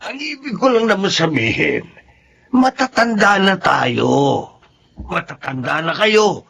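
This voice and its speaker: A man's voice: sits high, sing-song, unhurried, gravelly, pushed and bright, slight background noise, full character voice. A man voicing a weary, teasing elderly husband who plays peacemaker in a Filipino audio drama, with a Filipino accent. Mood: chiding.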